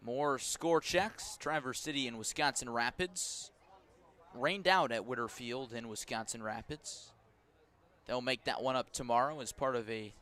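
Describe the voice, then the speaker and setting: A man's voice: medium pitch, pushed and bright. American man calling baseball play-by-play. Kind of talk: live radio sports commentary. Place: outdoor baseball stadium at night.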